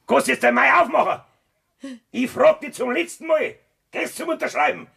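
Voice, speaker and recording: An actor with a raspy voice and Bavarian accent playing a cold, threatening farmer who bullies his family in a radio drama. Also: extremely high pitch, normal speed, sharp tone, full character voice. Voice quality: raspy, gravelly. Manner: taunting, threatening. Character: cold, menacing farmer bullying his wife and mother. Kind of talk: German dialect radio drama acting.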